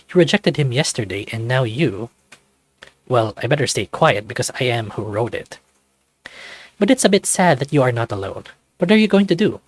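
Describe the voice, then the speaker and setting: A man's voice: mid-range voice, dry recording. A man voicing a chatty game writer who playfully chides and addresses the player in visual novel notes. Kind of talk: visual novel developer notes read aloud.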